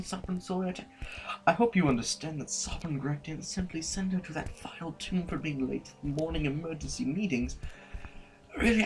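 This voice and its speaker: A man's voice: a little high, lightly acted, low-quality recording. A man playing a prim, deferential, status-conscious official in an audio drama, speaking formally and fussily. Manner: formal, fussy, hesitant phrasing.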